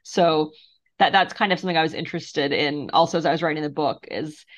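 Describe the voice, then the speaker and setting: A woman in her thirties from Moldova, a guest author talking thoughtfully in an interview with soft laughs and fillers. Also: low voice, fast. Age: 30s. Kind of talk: casual interview conversation. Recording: muffled lo-fi sound.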